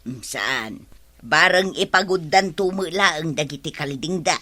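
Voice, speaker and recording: A woman's voice: low voice, measured pace, pushed and bright, full character voice. A woman with an Ilocano accent playing an aggrieved, complaining villager in a comedy radio drama.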